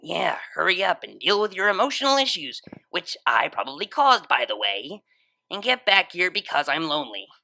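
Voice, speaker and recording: A man's voice: high-pitched, wildly swooping pitch, normal speed, gravelly, bright, forceful tone, heavily acted. A man voicing a young female unicorn DJ pony in a story, flustered, self-doubting and lovestruck, with hesitant, stammering delivery. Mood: nervous, self-mocking.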